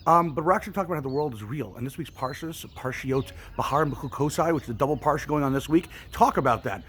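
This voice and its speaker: A man's voice: fairly high pitch, fast talker, a bit bright, a little hiss or hum. A man telling religious stories to young people as a teacher, casual and colloquial, acting out his examples. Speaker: youth program storyteller and teacher. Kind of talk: animated religious storytelling for children.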